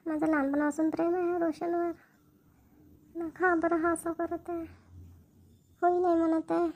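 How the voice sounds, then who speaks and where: A woman's voice: extremely high pitch, little pitch movement, moderate pace, darkish tone. A woman voicing an anxious, lovestruck young bride-to-be in a cartoon comedy, wistful and musing. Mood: wistful, worried. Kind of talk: amateur cartoon family comedy dialogue.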